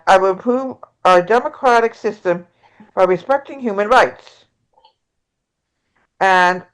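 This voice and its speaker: A woman playing a progressive, combative presidential candidate in an amateur table read, reading haltingly with stumbles. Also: medium pitch, close to an everyday voice, poor audio.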